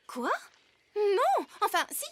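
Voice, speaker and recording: A woman voicing an eager, warm-hearted young deer-girl in a cartoon dub, with an emphatic, self-assured delivery. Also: very high voice, wildly swooping pitch, slow pace, slight background noise, exaggerated voice. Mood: defensive, assured. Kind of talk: French cartoon dubbing. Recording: music behind the voice.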